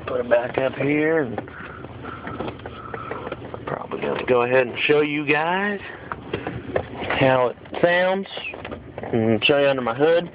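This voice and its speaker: A man's voice: medium pitch, background noise. Informal man on dull, lo-fi phone audio. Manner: informal, blunt, plain-spoken. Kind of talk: casual hands-on how-to demonstration. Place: in and around a pickup truck. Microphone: handheld phone.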